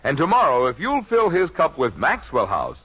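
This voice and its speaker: An American man working as a commercial announcer, giving a folksy, persuasive 1950s radio sales pitch. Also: huge pitch swings, moderate pace, sharp tone, slightly rough. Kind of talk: 1950s radio ad read, smooth-talking pitch. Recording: muffled old radio recording.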